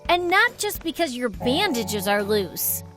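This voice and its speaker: A haughty skeleton villainess from a cartoon, acted by a woman, sneers down at everyone with theatrical grandeur. The voice is high and sweeping, drawn out slowly. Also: sing-song, over music. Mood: sneering. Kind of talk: animated film voice acting.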